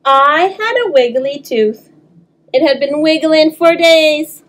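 A woman in her thirties reading aloud expressively for children's story time, animated and talking directly to young listeners. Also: sits high, slow. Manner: animated, talks to young listeners, draws words out. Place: small indoor room.

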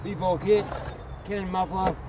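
A man's voice: high voice, steady tone, moderate pace. A middle-aged man talking casually and plainly as he presents his own unscripted hobby video outdoors. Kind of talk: casual unscripted vlog talk. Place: outdoors in an open wood yard. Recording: noisy outdoor recording with ambient hum.

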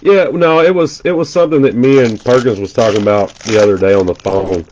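A man's voice: medium pitch, fairly quick, a little mellow, muffled recording, a little hiss or hum. A congested man doing casual live commentary as he opens trading card packs, rattling off player names with chatty asides. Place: indoor room at a table.

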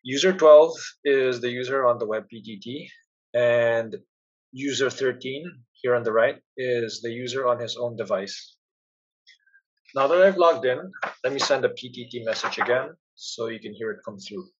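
A man giving a plain, instructional step-by-step narration as a software tutorial presenter. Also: mid-range voice, moderate pace, dull audio, a little hiss or hum.

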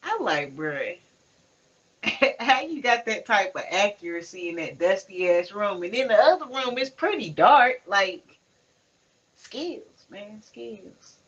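A woman's voice: medium pitch, very animated, slow, husky. A young woman, chatting casually and slangily with a giggly, swearing manner. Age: young adult. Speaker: livestream panelist. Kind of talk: casual chat. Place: living room at home. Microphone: headset on a video call. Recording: dull, lo-fi video call audio.

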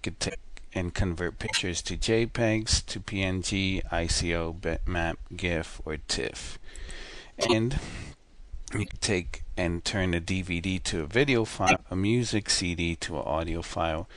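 A man with a very low voice talks through a screen-recorded software tutorial. His delivery is relaxed and rambling, full of small restarts and fillers, like someone explaining at his own desk. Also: a little slow.

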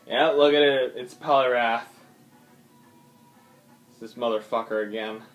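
A man's voice: medium pitch, fairly even intonation, a little slow, highs cut off. Man muttering through a video game. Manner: thinks aloud, swears, frequent ums and uhs. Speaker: gaming YouTuber. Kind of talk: casual gameplay commentary. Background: faint game music.

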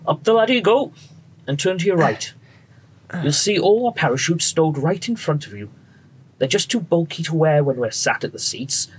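An actor playing a friendly, assured wartime bomber pilot with a British accent, brisk and reassuring, in a radio drama. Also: male voice, on the high side, expressive, lightly acted. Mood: genial, welcoming. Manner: brisk, reassuring, polite officer. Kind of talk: period radio drama acting. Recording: noisy aircraft engine background.

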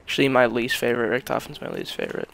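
A man's voice: medium pitch, huge pitch swings, rapid-fire, creaky, a bit bright. A young man coming down with a cold, chatting in a laid-back way with joking asides while gaming. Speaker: co-player. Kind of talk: casual gameplay chat.

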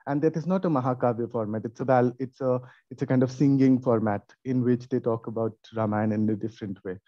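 A man with an Indian accent giving academic comments and questions in a halting, thinking-aloud manner at an online conference. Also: mid-range voice, no room sound. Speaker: conference panel audience questioner. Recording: muffled lo-fi online call.

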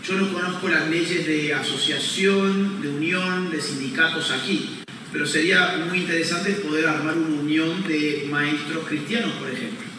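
A man's voice: a little high, steady tone, normal speed, noisy background. Emphatic man lecturing in an echoey church. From Argentina. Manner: emphatic, poses rhetorical questions then answers them. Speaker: conference speaker. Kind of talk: public lecture to an audience. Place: large church hall used as a lecture venue. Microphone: handheld mic, recorded by a distant camera. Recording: echoey church hall heard from a distance.

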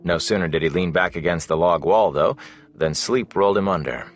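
A man narrating a fantasy novel as an audiobook, in a measured, expressive storytelling manner. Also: sits low.

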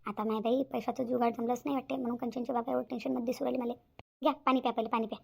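A woman's voice: a little high, little pitch movement, racing, gravelly, darkish tone, husky, full character voice. A woman voicing a worried, stubborn village mother in a Marathi cartoon, plaintive and repetitive, with a Vidarbha Marathi accent. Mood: concerned.

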